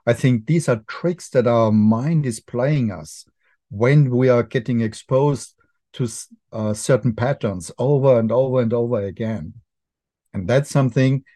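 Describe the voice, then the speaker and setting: A man's voice: mid-range voice, warm tone, no echo. A middle-aged man with an Austrian accent, a filmmaker guest on a podcast, explaining thoughtfully with frequent restarts and fillers. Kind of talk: podcast interview.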